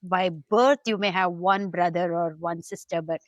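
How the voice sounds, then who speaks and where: A middle-aged woman co-hosting a podcast, effusive and exclamatory, quick to laugh. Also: on the low side, steady tone, dry recording, clean studio sound. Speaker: co-host. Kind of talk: casual podcast chat.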